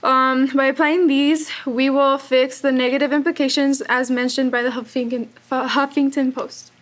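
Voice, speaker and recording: A teenage girl from El Paso, Texas, giving a rehearsed student presentation and listing points in a measured way. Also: high-pitched, flat delivery. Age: teens.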